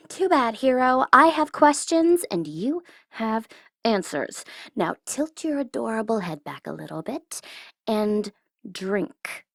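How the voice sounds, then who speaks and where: A woman playing a teasing tsundere supervillain in an ASMR audio roleplay, condescending but easily flustered, sometimes slipping into soft whispers. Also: fairly high pitch, very animated, slow pace, vocal fry, no echo, studio quality, exaggerated voice. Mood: mocking. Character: teasing, tsundere female supervillain flustered by her captive hero.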